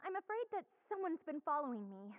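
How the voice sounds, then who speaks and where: A woman's voice: high-pitched, sing-song, fast talker, phone-quality sound. An actress playing a frightened young woman who pleads anxiously but stays formally polite.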